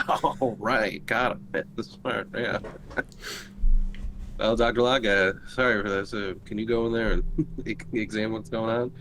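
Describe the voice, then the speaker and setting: A man's voice: lowish voice, steady tone, measured pace, fairly bright tone, soft background music, rough recording. A man playing a tabletop roleplaying game, talking casually with the group.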